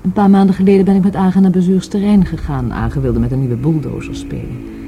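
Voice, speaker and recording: An actress in a Dutch radio drama playing a wary woman questioned about her dead friend, with a husky voice. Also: on the low side, animated, a little fast, mellow, faint music behind. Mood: reminiscing.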